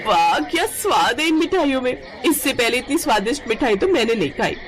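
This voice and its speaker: A woman voicing a delighted village woman who exclaims excitedly in an animated moral story. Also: sits high, fairly quick, crowd noise, pitched above the performer's own voice. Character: delighted, gushing young village woman tasting sweets.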